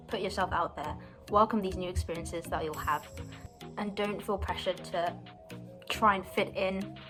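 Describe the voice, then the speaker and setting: A young woman in a soft, earnest way, sometimes pausing on fillers. Her voice sits a little low for a woman. Music plays clearly beneath her throughout. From London, England. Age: 20s. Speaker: university student. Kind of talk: personal story, read to camera.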